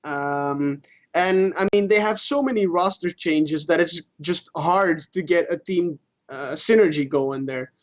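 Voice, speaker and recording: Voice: mid-range voice, unhurried. A co-host of a gaming stream chatting casually about esports in an informal way, swearing freely.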